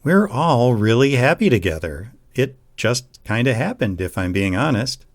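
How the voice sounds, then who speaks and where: A man acting as a gentle, reassuring young boyfriend in an intimate audio roleplay, speaking in a soothing, affectionate way. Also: medium pitch, very animated, normal speed. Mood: casual, happy.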